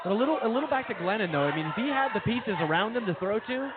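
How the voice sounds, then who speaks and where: A man's voice: high-pitched, expressive, rapid-fire, heavy background noise. A man co-hosting a casual sports talk show, trading banter and opinions. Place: brewery, live remote broadcast. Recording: tinny narrowband live bar broadcast.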